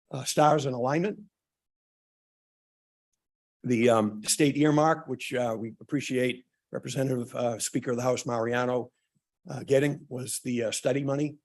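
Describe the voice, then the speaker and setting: Hoarse man with many ums. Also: mid-range voice, a little mellow. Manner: halting, many ums and uhs, restarts. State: losing his voice, clearing throat. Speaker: town official presenting at a public forum. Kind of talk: prepared remarks at a public meeting.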